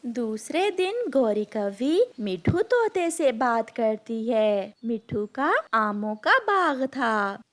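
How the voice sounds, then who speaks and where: A woman narrates a children's tale as a storyteller. Her voice sits high, with animated intonation and a slow, measured delivery.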